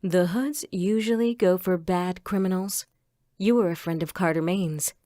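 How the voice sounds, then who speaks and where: A woman's voice: lowish voice, moderate pace, dark tone, no echo, clean studio sound, natural voice. A woman playing a composed, inquisitive police inspector in an audio crime drama.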